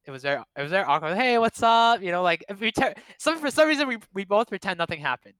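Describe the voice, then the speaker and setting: A man's voice: high-pitched, lively intonation, quick pace, a bit bright, no echo. A man in his late twenties from Canada, a Cantonese speaker, telling rambling, profane, self-mocking stories in a casual chat. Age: 20s. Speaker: guest.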